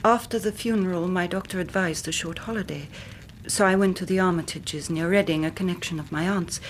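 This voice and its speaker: An actress playing a grieving young English gentlewoman in a radio drama, speaking formally and precisely as a dramatic storyteller. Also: lowish voice, a little fast, a little hiss or hum, lightly acted. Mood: sombre, earnest. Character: grieving young gentlewoman recounting her twin sister's death.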